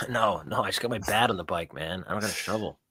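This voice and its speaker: A man's voice: low-pitched, quick pace, sharp tone, husky, dry recording. A man playing a tabletop roleplaying game, speaking in character with casual, slangy phrasing.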